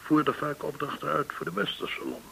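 An actor playing a courteous, shrewd, well-connected informant in a Dutch radio drama, speaking in a formal, deliberate way. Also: male voice, fairly high pitch, animated, gravelly, a bit bright, rough recording. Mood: measured explaining. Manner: formal, measured, deliberate explaining. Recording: hissy old radio recording.

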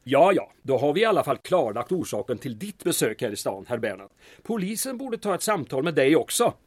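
A man's voice: a little high, big pitch swings, darkish tone, dry recording. An actor playing a proud, guarded male town mayor in a radio drama, formal and dryly sarcastic. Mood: defensive, indignant.

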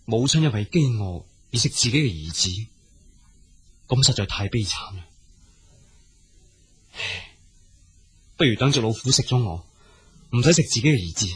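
An actor playing a gentle, compassionate young prince in a radio drama, earnest and given to sighing and musing aloud. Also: male voice, mid-range voice, animated, moderate pace, long pauses, slightly rough. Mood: distressed pity. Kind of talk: Cantonese radio drama acting.

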